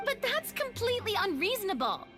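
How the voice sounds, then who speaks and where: A young swordswoman from a game, analytical and on her guard. The voice is high, earnest and deliberate, with long reverb and faint music. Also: very animated. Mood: indignant. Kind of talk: video game voice acting.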